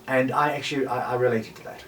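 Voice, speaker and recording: A South African man in his forties reflecting on personal experience in a halting way, with repeated words and pauses. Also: mid-range voice, fairly even intonation, a bit bright. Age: 40s. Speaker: podcaster and voice actor. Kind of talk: personal reflection, interview-style talk. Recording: distant mic with faint background noise.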